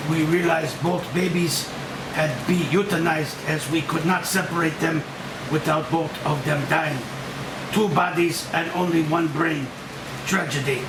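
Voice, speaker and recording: An older Japanese scientist played by a man, solemn and full of remorse. His voice sits high for a man and comes in halting, weighty phrases. It is a table read heard from off the mic in an echoey room with clear hiss. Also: steady tone, far from the mic. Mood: grieving, solemn.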